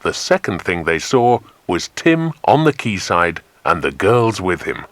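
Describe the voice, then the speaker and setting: A man's voice: low voice, big pitch swings, a little slow, no room sound. A British man narrating a dramatised children's adventure audiobook.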